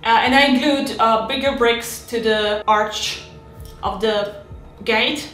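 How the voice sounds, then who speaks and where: Woman, 30s, halting casual hobby chat, slightly high voice, roomy home recording. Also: fairly bright tone, soft background music. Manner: chatty, halting, frequent restarts and fillers. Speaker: miniature terrain hobbyist. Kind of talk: casual hobby vlog narration.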